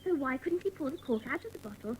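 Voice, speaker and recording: Excitable young schoolgirl, very high, animated voice, children's radio-play style, slight background noise. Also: fast. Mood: excited, insistent. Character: chatty, excitable, soft-hearted young schoolgirl of the Secret Seven. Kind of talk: dramatised children's audiobook acting.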